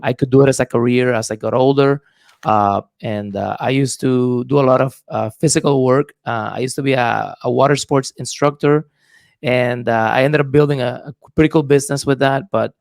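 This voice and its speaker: Gravelly Puerto Rican man, dry sound. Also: mid-range voice, fairly even intonation, normal speed, no room sound. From Puerto Rico. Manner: thoughtful, hesitant with many fillers. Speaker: guest, real estate investor. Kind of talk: casual podcast interview.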